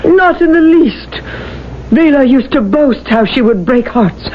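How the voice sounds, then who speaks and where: An actress playing a sharp, scornful, practical sister in a 1970s radio drama, speaking in a stern, theatrical way. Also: female voice, high-pitched, lively intonation, a little slow. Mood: scornful, indignant. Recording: dull, noisy old radio recording.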